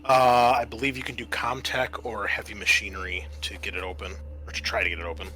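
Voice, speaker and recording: A man running a tabletop game as game master, describing scenes in a halting, improvised way with many pauses. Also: on the low side, little pitch movement, bright, forceful tone.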